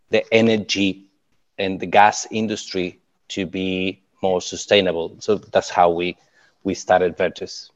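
Uruguayan man, low voice, dull dry audio. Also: no echo. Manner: hesitant, many fillers and restarts. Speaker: climate tech startup co-founder. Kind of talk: interview answers.

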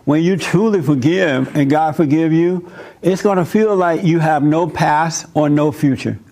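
A man's voice: fairly high pitch, a little slow, darkish tone. A man preaching and chatting with visitors, emphatic and repeating his phrases.

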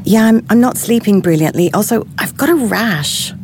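A woman's voice: medium pitch, lively intonation, moderate pace, darkish tone, a little hiss or hum, lightly acted. An actress playing an anxious, apologetic pregnant woman in an audio drama, speaking hesitantly with stammers. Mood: uneasy, sheepish.

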